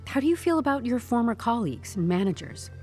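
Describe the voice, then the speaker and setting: An actress playing a composed, stubborn HR newcomer in an audio drama, speaking with deadpan corporate politeness and wry candour. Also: female voice, fairly high pitch, fast talker, faint music behind. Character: composed, stubborn young HR newcomer turning interrogation into interview.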